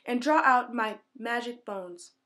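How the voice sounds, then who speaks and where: A woman voicing a kindly, dying old man who gives away magic bones, speaking slowly and solemnly in a storybook reading. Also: fairly high pitch, pushed and bright.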